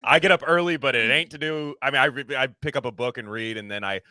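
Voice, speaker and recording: Bright-voiced man hosting a podcast. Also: mid-range voice, quick pace, faint music behind. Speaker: podcast host. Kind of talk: casual podcast talk.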